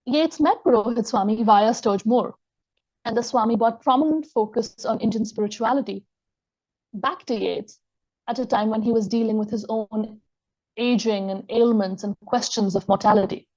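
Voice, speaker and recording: A woman giving a scholarly lecture, reading from her text in a measured way and quoting sources aloud. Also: a little high, a little mellow, muffled recording. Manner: measured, reads quotations aloud. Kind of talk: reading an academic talk.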